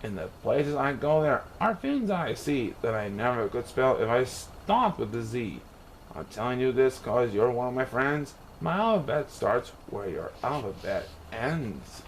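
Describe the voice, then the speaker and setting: Male storybook reader, playful and theatrical, very lively pitch, faint noise in the recording. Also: a little slow, low-quality recording. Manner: theatrical, playful rhyming delivery with exaggerated emphasis. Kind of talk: expressive children's picture-book read-aloud.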